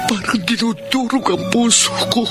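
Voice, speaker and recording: A man's voice: extremely high pitch, moderate pace. An actor playing an anguished, grieving husband and new father in a Filipino radio drama, with a Filipino accent. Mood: anguished, heartbroken. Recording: loud music under the voice.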